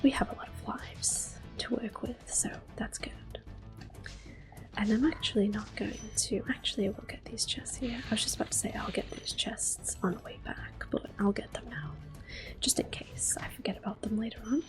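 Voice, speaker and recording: A woman giving hushed, unhurried ASMR commentary on a video game as she plays it, speaking in soft whispers. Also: medium pitch, fairly even intonation, over music, rough recording. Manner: hushed, unhurried narration of own play. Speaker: ASMR gameplay host.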